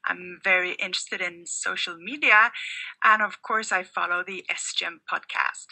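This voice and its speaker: A woman's voice: lowish voice, steady tone, normal speed, creaky, bright, forceful tone, poor audio. A physician from Sweden with a Swedish accent, a woman asking thoughtful questions as a podcast guest. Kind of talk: podcast interview.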